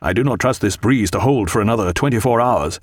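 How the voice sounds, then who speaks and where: A man's voice: lowish voice, a little fast, no echo, clean studio sound, close to an everyday voice. A man voicing a bluff, kindly, proud naval captain with hearty nautical turns of phrase in an audiobook.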